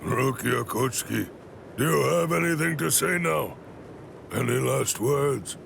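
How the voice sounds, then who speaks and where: An actor playing an ancient, stern head captain in an anime game, with a gravelly voice and a formal, authoritative, measured delivery. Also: male voice, mid-range voice, fairly bright tone, slight background noise. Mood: solemn. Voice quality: gravelly, creaky. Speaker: video game character voice actor. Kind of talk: scripted anime game dialogue. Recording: music behind the voice.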